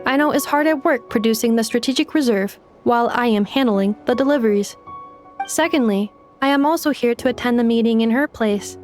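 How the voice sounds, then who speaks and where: A woman's voice: on the high side, brisk, darkish tone, soft background music, natural voice. Woman voicing a polite, formal delivery robot. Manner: formal, precise, status-report phrasing.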